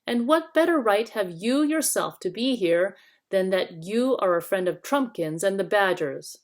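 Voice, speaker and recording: A woman's voice: medium pitch, lively intonation, a little slow, lightly acted. A woman voicing a bitter, quarrelsome male dwarf rebel with a scornful manner, in a storybook reading. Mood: sneering.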